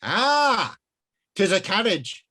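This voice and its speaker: A jumpy Dark Ages wizard, as a man puts him on in a storybook reading. He is bewildered and dramatic, his archaic speech pitched well above the reader's own voice and rising and falling widely. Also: sing-song, slow pace, heavily acted. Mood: puzzled.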